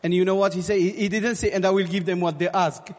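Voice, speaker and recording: A man preaches in a large, reverberant hall. His voice sits fairly high, and he delivers his testimony in short, halting phrases of English. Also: steady tone, a little mellow. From Morocco. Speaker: guest preacher giving testimony. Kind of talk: sermon testimony, speaking through an interpreter.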